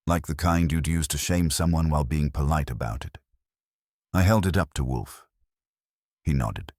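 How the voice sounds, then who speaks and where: Guy reading a noir detective audiobook, super deep and husky, wry, dry close sound. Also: no room sound. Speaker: audiobook narrator. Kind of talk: scripted first-person detective story narration.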